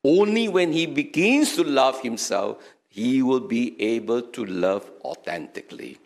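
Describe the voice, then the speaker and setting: A man's voice: mid-range voice, expressive, a little slow, creaky. An older man with a Singaporean accent, an archbishop giving an earnest sermon into a stand mic in a small chapel. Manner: earnest, emphatic, preaching with hand gestures. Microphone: stand mic in front.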